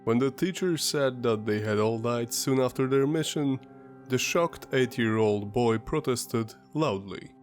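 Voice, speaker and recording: Brief: male host, stuffy sinus-congested voice, mid-pitched, measured documentary read, faint music underneath. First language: Polish. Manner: measured, explanatory storytelling. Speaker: history channel host. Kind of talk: scripted documentary narration.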